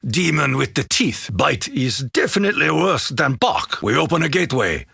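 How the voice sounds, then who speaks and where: An actor playing a solemn, proud Japanese warrior in a video game, with a gruff voice, a Japanese accent and grave, formal, archaic phrasing. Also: male voice, on the high side, big pitch swings, moderate pace, pushed and bright, no room sound, studio quality, exaggerated voice. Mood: grave, foreboding. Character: solemn, proud Japanese warrior soldier, honor-bound and menacing. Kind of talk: video game character battle lines.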